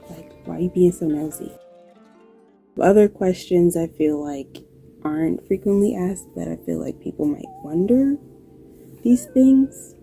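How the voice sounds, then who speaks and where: A woman with a New York accent and occasional Southern touches, talking casually to camera in a low-key, dry, unhurried way, answering questions. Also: gravelly, mellow, faint music behind. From New York, United States. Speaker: video creator and graphic designer.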